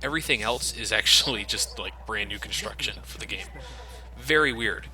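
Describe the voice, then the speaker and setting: A man's voice: fairly low pitch, moderate pace, pushed and bright, a little hiss or hum. A man hosting a let's play with casual, sardonic, sweary game commentary.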